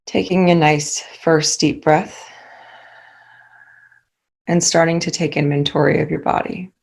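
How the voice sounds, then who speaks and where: A woman guides a meditation in a low-pitched voice with a noticeable creak. She speaks slowly and calmly, leaving soothing pauses between short instructions.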